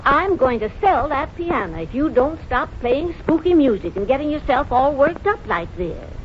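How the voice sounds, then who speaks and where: A woman's voice: high voice, big pitch swings, normal speed, lo-fi sound, a little hiss or hum. An actress playing a kindly, trusting, easily flustered old grandmother in folksy 1940s radio drama style, on an old radio recording. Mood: folksy, dismissive. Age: older. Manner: folksy, dismissive, fussing.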